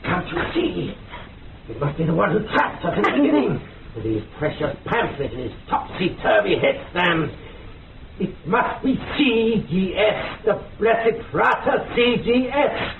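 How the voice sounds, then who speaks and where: An actor playing a feverish occultist on the edge of hysteria, declaiming with histrionic passion in a radio drama. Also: male voice, high voice, sing-song, unhurried, vocal fry, heavily acted. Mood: agitated disbelief. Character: feverish, disbelieving male occultist teetering on hysteria. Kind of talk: theatrical radio drama acting. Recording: echoey, distant, noisy lo-fi radio recording.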